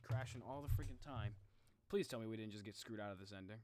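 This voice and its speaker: A man's voice: on the low side, fast talker, warm tone. A man doing casual live game commentary as a streamer, talking in slangy, reactive exclamations.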